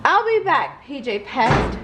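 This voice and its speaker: A woman's voice: high voice, big pitch swings, slow, background noise, full character voice. A woman voicing a bossy, boastful young girl villain who is plotting, in a children's storybook. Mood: defiant.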